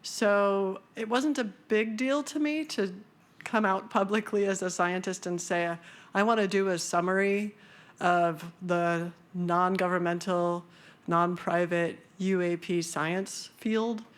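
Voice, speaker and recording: A woman's voice: fairly even intonation, slow. A woman speaking casually and with humor as a speaker at a scientific conference, with many fillers. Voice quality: high-pitched voice.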